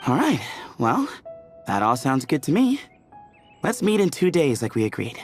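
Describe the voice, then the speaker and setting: A cheerful young adventurer from a game, relaxed and agreeable. His voice swings lively up and down. Quiet music sits behind him. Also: a little high, moderate pace. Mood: easygoing. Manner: upbeat and agreeable. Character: friendly, easygoing young male adventurer.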